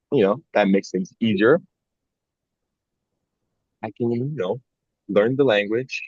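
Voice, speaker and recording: A young man with a fairly low voice talks through his experiences in a loose, reflective podcast chat, often leaning on "like" as he thinks. The sound is dry and slightly dull, close and without room echo. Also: darkish tone. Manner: rambling, reflective, frequent "like" fillers. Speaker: podcast co-host.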